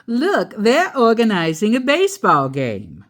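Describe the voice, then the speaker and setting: A woman's voice: on the high side, huge pitch swings, a little slow, voice pushed up, full character voice. A woman voicing an easygoing, fair-minded father bear in a storybook, with an emphatic, reassuring manner. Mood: pleased.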